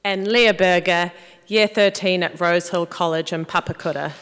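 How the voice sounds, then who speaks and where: A woman with a slightly low voice hosts a formal ceremony. Her delivery is measured and formal, and it rings with the long reverb of a large hall. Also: fairly even intonation, noisy background. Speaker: master of ceremonies. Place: large indoor hall, public address.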